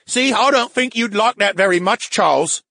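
A man voicing an excitable, talkative male brain living in a tank, dramatic and whiny. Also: high voice, moderate pace, gravelly, no room sound, voice pushed up, full character voice. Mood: indignant. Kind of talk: audiobook character voice.

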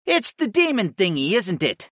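Man voicing an eager, high-pitched cat monster sidekick. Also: wildly swooping pitch, moderate pace, a bit bright, husky, dry recording, clean studio sound, full character voice. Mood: worried, surprised. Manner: eager, earnest, casual buddy-like talk. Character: big male cat-monster familiar, loyal, excitable, affectionate sidekick. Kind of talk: audiobook character voice.

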